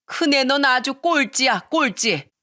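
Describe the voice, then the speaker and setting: A woman's voice: sits high, animated, unhurried, sharp tone, voice pushed up, exaggerated voice. A woman voicing a stern elderly mother-in-law who scolds bluntly in a dramatic family story. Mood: angry scolding. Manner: firm, reproachful, blunt.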